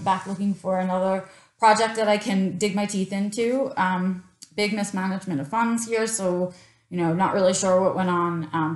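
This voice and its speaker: A woman in her thirties from Queens with a New York accent, talking candidly to camera in an unscripted vlog, with many ums and a passionate streak. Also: medium pitch. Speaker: vlogger. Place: small room indoors, plain backdrop. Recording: roomy echo.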